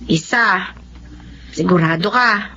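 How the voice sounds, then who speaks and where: A woman's voice: mid-range voice, takes it slowly. An actress playing a blunt, nosy young female friend with a Filipino accent, probing and scolding in a radio drama. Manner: probing, animated. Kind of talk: Tagalog radio drama acting. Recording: dull lo-fi track with background noise.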